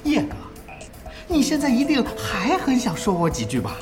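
Spiteful, shrill, swooping male rival. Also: wildly swooping pitch, moderate pace, heavily acted. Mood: mock-friendly, sneering. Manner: theatrical sarcasm, mocking and taunting. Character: spiteful, sneering male esports player, resentful former subordinate. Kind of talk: radio drama acting. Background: background music.

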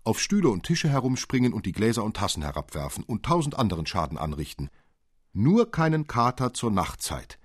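Man reading as brisk, exasperated mother. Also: fairly low pitch, very animated, breathy edge, no room sound, clean studio sound, voice pushed up. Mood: amused objection. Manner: exclaiming, emphatic.

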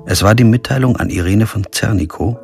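An actor playing a brooding, weary middle-aged lawyer who narrates his own investigation in a measured, introspective way in a radio crime drama. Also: fairly low pitch, creaky, darkish tone, faint music behind. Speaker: narrator and lead. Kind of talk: scripted first-person narration and dialogue in a radio crime drama.

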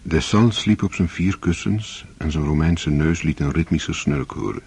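An actor playing a solemn, measured literary narrator in a radio drama, with a husky voice. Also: male voice, a little mellow, exaggerated voice. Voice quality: deep, breathy.

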